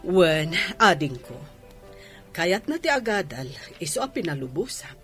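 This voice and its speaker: A woman's voice: fairly low pitch, big pitch swings, a little slow, soft background music. An actress playing a composed, well-off businesswoman in a radio drama, with an Ilocano Filipino accent. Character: composed well-off woman restaurant owner defending her driver.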